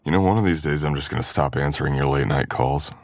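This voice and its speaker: A man's voice: extremely low pitch, fast talker, no room sound, clean studio sound. A man playing a teasing, caring male best friend in an intimate boyfriend ASMR audio roleplay, with playful grumbling.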